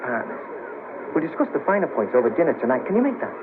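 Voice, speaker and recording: A man's voice: mid-range voice, very animated, fast, narrow, tinny audio, natural voice. An actor playing a world-weary, scheming ex band manager, down on his luck, in a colloquial 1970s radio drama. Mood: wry, charming. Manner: wry, persuasive, colloquial. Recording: patchy noisy cassette of 1970s radio broadcast.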